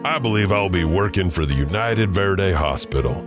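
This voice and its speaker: A man doing a wandering janitor who tells creepy tales in an audio drama. His voice sits very low and rumbling, and he lets out wry little chuckles between facts. Music plays underneath him. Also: animated, moderate pace. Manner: chuckles to himself, wry asides. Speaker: narrator. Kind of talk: horror anthology narration.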